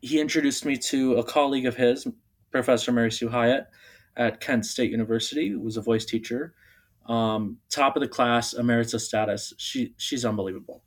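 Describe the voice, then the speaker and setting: A young man from Bulgaria, an opera singer, answering interview questions in a relaxed way with many ums and restarts. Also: medium pitch, brisk. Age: young adult. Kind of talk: casual interview.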